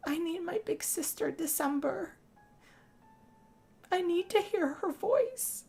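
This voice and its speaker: A woman telling a first-person role-play story as game narration, theatrical, with drawn-out phrases and pauses. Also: very high-pitched, expressive, a little mellow, soft background music. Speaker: gameplay narrator.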